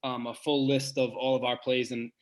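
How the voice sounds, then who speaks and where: A man with a big voice who is a head basketball coach, answering podcast questions earnestly and thinking aloud with pauses and restarts. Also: mid-range voice, flat delivery.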